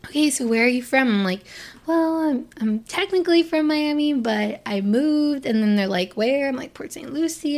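A young woman from Florida talking casually to camera, rambling with lots of fillers and restarts as she opens up. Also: a little high. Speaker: vlogger. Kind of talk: casual personal talk to camera.

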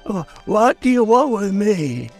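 An actor voicing a cranky, cowardly undead ghoul salesman with a gravelly voice in a radio drama. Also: sits high, very animated, a little slow, a little mellow, faint music behind, exaggerated voice. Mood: nervous, pleading. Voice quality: creaky, gravelly. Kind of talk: old-time radio drama acting.

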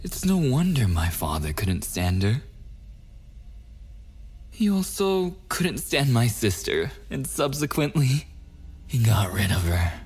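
A man's voice: medium pitch, big pitch swings, a little mellow, slightly rough, exaggerated voice. A man playing a possessive, unhinged, murderous kidnapper boyfriend in an ASMR audio roleplay, menacing and often slipping into whispers. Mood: sweetly threatening. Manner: slow, drawn-out menace slipping into whispers and giggles.

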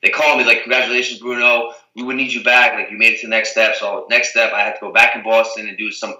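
A man's voice: fairly low pitch, flat delivery, fast talker, pushed and bright, echoey room, distant mic, poor audio. A young man from the Azores, a reality TV guest, chatting casually and rambling with lots of "like" and "you know what I mean". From Portugal, the Azores. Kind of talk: casual interview chat.

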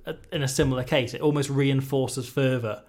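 A man's voice: medium pitch, steady tone, fairly quick, darkish tone. A man from Wales in his thirties, a guest on a casual rugby podcast, talking with joking, dry gallows humour.

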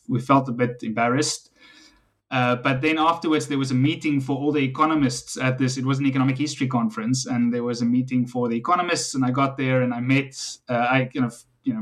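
A middle-aged South African man speaks as an academic guest in an interview. His delivery is conversational and explanatory, with an anecdotal, storytelling way of answering. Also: mid-range voice. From South Africa, Western Cape.

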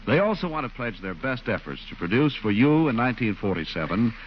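A man's voice: mid-range voice, big pitch swings, fairly quick. A man announcing in a polished 1940s radio sponsor style. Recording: muffled, slightly noisy old radio recording.

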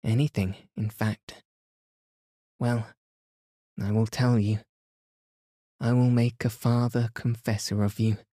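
A man reading a story and voicing a poised, reserved young Englishwoman who speaks in a measured, composed way. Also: lowish voice, little pitch movement, warm tone, dry recording, studio quality. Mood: confiding.

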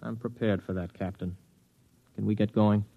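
A man's voice: lowish voice, steady tone, moderate pace, creaky, dry recording, narrow, tinny audio. A man playing a headstrong, plain-spoken veteran spaceman in an old radio drama. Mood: impatient, defiant. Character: headstrong, defiant veteran spaceman, flight officer. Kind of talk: 1950s radio drama acting, first-person narration and dialogue.

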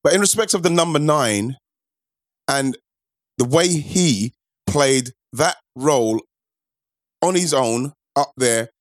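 Male podcast host, casual and warm-hearted, mid pitch, dry studio sound. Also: takes it slowly, pauses often, no room sound. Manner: laid-back, affectionate, lots of "yes" and "nice one". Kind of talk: casual football chat.

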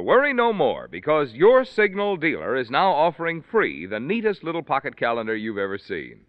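A dry, slightly muffled old radio recording of a male announcer. He has a mid-range voice with lively swings and a polished, salesman-like 1940s commercial delivery. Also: mid-range voice, dry recording. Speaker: sponsor announcer.

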